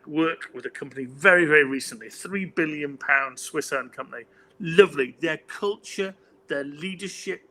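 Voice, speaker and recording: A British man hosting a livestream panel, opinionated and animated, with frequent stammered restarts. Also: a little high. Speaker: host.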